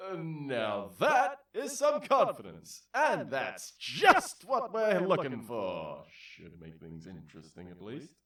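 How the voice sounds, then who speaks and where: A showy, slightly sadistic game show host from a comedy audio drama. His voice swings wildly from low to high as he milks every announcement at an unhurried pace. It rings with stage echo. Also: huge pitch swings, fairly bright tone, recorded from a distance, exaggerated voice. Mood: sly, deflated. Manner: showy, drawn-out announcer delivery. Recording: echoey stage sound over music.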